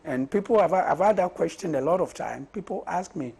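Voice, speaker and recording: A Ghanaian man with a husky voice and Ga as his mother tongue, answering interview questions in deliberate short phrases. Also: fairly high pitch, animated, warm tone. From Osu, Accra, Ghana. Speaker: parliamentary candidate being interviewed. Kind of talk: conversational political interview.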